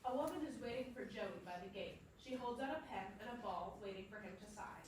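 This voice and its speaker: A woman's voice: mid-range voice, animated, fast. A woman reading stage directions as scripted narration. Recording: very echoey, distant, clear noise.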